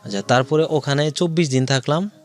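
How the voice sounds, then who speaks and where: A man's voice: a little high, fairly quick, soft background music. A man with a Bangladeshi accent tells his own life story as an interview guest, in detail and with quoted dialogue.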